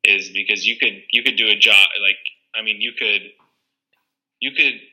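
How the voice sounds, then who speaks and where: A Canadian man chatting casually as an interview guest, halting and understated. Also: fairly low pitch, steady tone, pushed and bright, low-quality recording. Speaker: stand-up comedian, guest.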